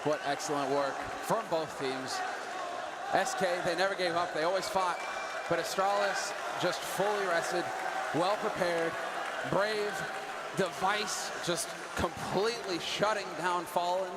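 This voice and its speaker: A man commentating a live esports match with animated analysis that breaks into screams at the big plays, heard on an arena broadcast. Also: high voice, unhurried. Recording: arena broadcast with loud crowd and noise.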